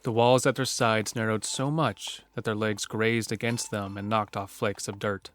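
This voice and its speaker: A man's voice: fairly low pitch, fairly even intonation, moderate pace, faint music behind. A man narrating a scripted western horror story for an audio drama.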